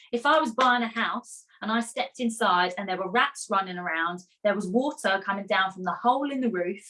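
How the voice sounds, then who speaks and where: A woman coaching musical theatre performers in a live online masterclass, energetic and encouraging, chatty with rhetorical questions. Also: mid-range voice, moderate pace, low-quality recording. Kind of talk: live online masterclass, reading chat comments.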